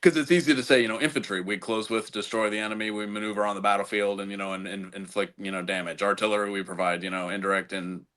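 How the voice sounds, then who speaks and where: Halting low-voiced veteran man. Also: steady tone, fairly bright tone. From United States. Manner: halting, frequent restarts and repeated words. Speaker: podcast guest, Army veteran officer. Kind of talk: casual conversational interview.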